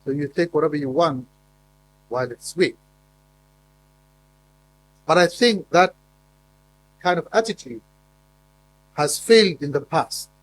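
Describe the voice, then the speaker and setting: A man's voice: on the high side, measured pace, long pauses, slight background noise, poor audio. Emphatic man giving a conference talk. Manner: emphatic, with frequent fillers and repeated words. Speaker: conference speaker. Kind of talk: formal conference presentation. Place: conference hall.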